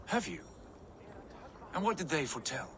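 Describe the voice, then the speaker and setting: A man's voice: a little high, sing-song, normal speed, background noise. A man playing a proud, visionary Viking warrior in a video game, speaking in solemn, grandiose, archaic phrases. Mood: proud, musing. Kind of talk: dramatic video game cutscene acting. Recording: music behind the voice.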